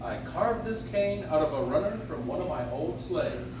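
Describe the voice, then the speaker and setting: Man acting a kindly old Santa, high-pitched voice, courteous prodding, stage radio play, distant noisy recording. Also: animated, close to an everyday voice. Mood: genial. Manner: polite, gently probing, unhurried. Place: coffee shop stage, recorded from the audience. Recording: echoey distant audience recording, clear noise.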